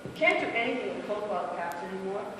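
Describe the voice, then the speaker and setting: An actress playing a brash, offhand young small-time dope dealer in a stage play. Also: female voice, medium pitch, expressive, fast talker, far from the mic, close to an everyday voice. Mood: brash, musing. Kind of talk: live stage play acting. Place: small theatre stage, recorded from a distance. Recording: echoey, noisy amateur theatre recording from afar.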